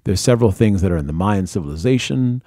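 A man's voice: sits low, expressive, fast talker, dark tone, no room sound, clean studio sound. A man hosting a podcast monologue, speaking in short, emphatic, repeated declarative phrases. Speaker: podcast host.

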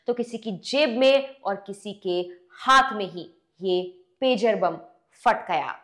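Higher-pitched woman news presenter. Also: fairly bright tone. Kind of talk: scripted news explainer narration. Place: indoor studio. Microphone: lapel mic.

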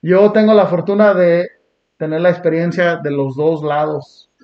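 A man from Long Beach, California, hosting a podcast and talking in an earnest, reflective way with blunt street slang. He sits on a small dark indoor studio set. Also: on the high side, steady tone, measured pace. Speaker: podcast host. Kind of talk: casual reaction chat. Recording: muffled lo-fi sound.

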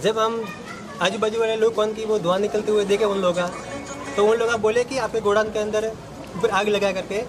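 A man's voice: high-pitched, flat delivery, fairly quick, music in the background. A young man, the shop owner's brother, explaining at length into a handheld news mic outdoors at night, rambling and repeating himself. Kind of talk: on-the-spot interview. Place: outdoors on a busy street at night. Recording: loud street and traffic noise.

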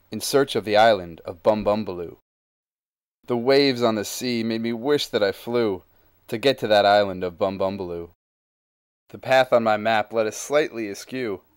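A man's voice: lowish voice, smooth, no room sound, studio quality, close to an everyday voice. A man voicing a grand, boastful male sea explorer who tells of his voyage in rhyming verse. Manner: rhyming, grand storytelling delivery.

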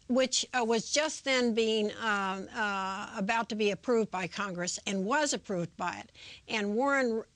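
A woman's voice: mid-range voice, dry recording. Woman, author guest, hesitant, thoughtful, dry sound. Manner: thoughtful, many fillers, searches for words. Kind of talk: conversational interview.

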